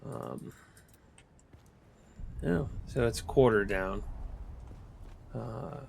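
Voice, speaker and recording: A man's voice: medium pitch, lively intonation, extremely slow, gravelly, a little hiss or hum. A man with a Boston accent casually showing viewers around his game base, rambling with fillers and restarts. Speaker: gameplay video host. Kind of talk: casual game walkthrough commentary.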